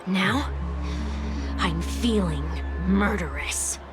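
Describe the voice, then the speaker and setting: A sardonic young woman in a video game, unhurried and dry-witted. She drawls her teasing remarks at a leisurely pace, over game music playing behind her. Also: medium pitch, animated. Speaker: game character. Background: game background music.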